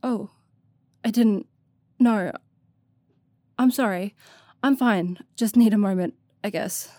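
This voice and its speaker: A woman's voice: mid-range voice, slow, warm tone, dry recording, clean studio sound, close to an everyday voice. A woman playing an anxious but determined, self-doubting young adventurer in an audio drama, speaking in a hesitant, plain way. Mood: shaken.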